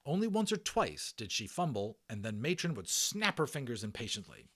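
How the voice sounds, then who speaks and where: A man narrating a children's audiobook as an amateur voice actor, expressive and dramatic, in a storytelling way. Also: mid-range voice, moderate pace, breathy edge.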